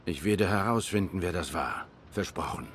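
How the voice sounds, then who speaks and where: Hardboiled cat detective, low-pitched voice, brooding, restrained, measured, noir video game. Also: male voice, fairly bright tone, faint music behind. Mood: earnest, restrained. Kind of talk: noir game dialogue acting.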